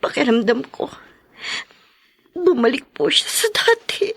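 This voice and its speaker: A soft-spoken, timid young wife, voiced by a woman in a radio drama, her voice high and hushed with worry. The sound is dry and close. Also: big pitch swings, measured pace, dry recording, exaggerated voice. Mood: anxious. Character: worried, wronged young wife and mother. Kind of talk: Filipino radio drama acting.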